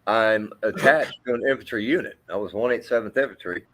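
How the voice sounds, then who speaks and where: Deep-voiced male army veteran. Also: a bit bright. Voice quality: deep, carrying voice. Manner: halting, with many fillers and restarts. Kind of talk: emotional storytelling in interview.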